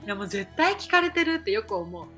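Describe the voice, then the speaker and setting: A woman's voice: very high voice, sing-song, fast talker, creaky, bright, forceful tone. A woman co-hosting a podcast, chatting casually as if with a friend. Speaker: podcast co-host. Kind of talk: casual chat between friends. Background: background music.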